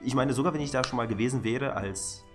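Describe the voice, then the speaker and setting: Guy co-host, jokey self-deprecating banter, stumbles over words a bit, normal pitch, faint music. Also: quick pace. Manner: joking, self-deprecating, stammering repeats. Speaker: podcast co-host. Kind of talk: casual chat, comic banter.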